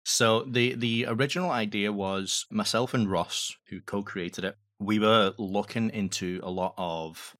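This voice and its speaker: A man's voice: lowish voice, steady tone, measured pace, hardly any pauses, a bit bright. A man, chatting casually as an interview guest and repeating words as he thinks. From United Kingdom. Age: 40s. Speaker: guest, audio drama director.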